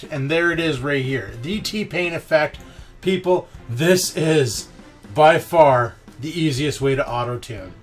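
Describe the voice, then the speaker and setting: A man with a mid-range voice walks through a piece of software as a tutorial host. His delivery is informal and slangy, with some mild profanity. Some music is present in the recording. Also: medium pitch. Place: indoor voice-over of a screen recording.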